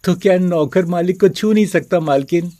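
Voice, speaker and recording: A man's voice: sits high, normal speed, warm tone, close to an everyday voice. An actor playing a poor, meek male servant who pleads humbly in a story. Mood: timid, puzzled.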